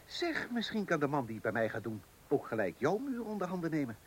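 An actor playing a meddling, boastful know-it-all neighbour in a radio comedy play, pompous and pushy. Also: male voice, fairly high pitch, sing-song, brisk, slight background noise. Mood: smug, pushy.

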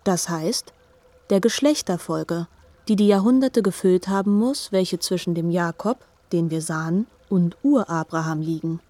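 Woman acting as thoughtful narrator of a biblical epic, soft dark tone, measured, very dry close sound. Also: on the low side, no room sound, close to an everyday voice. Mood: reflective. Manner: measured, articulate, reflective. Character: learned, reflective female narrator of a biblical epic. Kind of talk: literary radio play narration.

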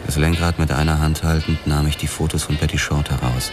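A brooding detective who narrates his own story in a radio play, calm and measured. His voice is extremely deep. Loud music plays behind him. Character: male detective narrating in first person. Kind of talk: hardboiled first-person narration.

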